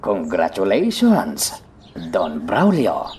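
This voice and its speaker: An actor with an Ilocano accent playing a hearty, flattering general in a radio drama, drawing out words for emphasis. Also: male voice, low voice, extremely slow, slight background noise, full character voice. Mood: jovial, goading. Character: hearty, flattering army general, fond of wagers.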